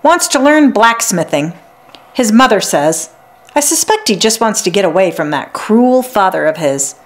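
A woman reader plays a kindly village healer woman in a children's storybook. She is hearty and plain-spoken, with brisk lines that swing in pitch. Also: sing-song, close to an everyday voice.

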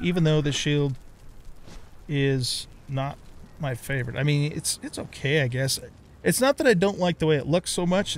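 A man's voice: fairly high pitch, slight background noise. A middle-aged man giving casual let's play commentary, rambling as he thinks aloud.

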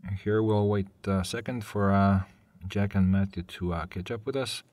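A man with a low voice and a dry, close sound walks through a software tutorial. He speaks as a technical presenter in a live webinar, pausing often in the middle of his sentences as he explains each step. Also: steady tone, dry recording. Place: voice-over on a screen-share webinar.

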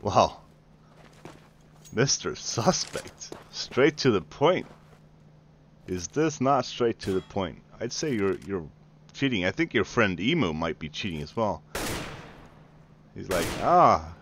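Deep, slow gaming host. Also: male voice, expressive, slight background noise. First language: Swedish. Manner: mocking, taunting, casual swearing. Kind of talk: sarcastic gameplay commentary. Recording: game gunfire under voice.

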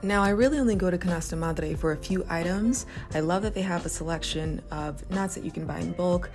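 A woman's voice: on the low side, brisk, a little mellow, soft background music. A young woman vlogging from her living room, chatty, upbeat and grateful, talking straight to the camera. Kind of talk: casual vlog talk to camera and voice-over. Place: living room, seated on a sofa.

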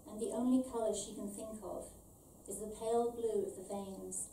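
A middle-aged woman reads a short story aloud at a measured, deliberate pace of delivery. Her tone is very dark and mellow. She is heard a little way off in an echoey room with slight noise. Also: medium pitch, steady tone. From born in Ireland, raised in London. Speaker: author reading her own short story. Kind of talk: scripted literary reading aloud. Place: small event venue with stage, indoors. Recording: roomy, distant, faint background noise.

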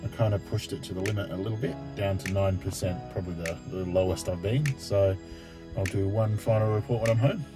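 A man's voice: low voice, over music, low-quality recording. A middle-aged man talking hesitantly and casually to camera for a road trip vlog, filmed at arm's length in a car or outdoors. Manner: hesitant, halting, thinks aloud. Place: parked car interior and outdoor roadside charging stops. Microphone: phone or camera at arm's length.

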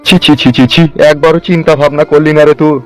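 A man's voice: fairly high pitch, level tone, fast, natural voice, rough recording. A man voicing a bitter, ashamed village father in a comedy cartoon, coarse and scolding. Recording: music behind the voice.

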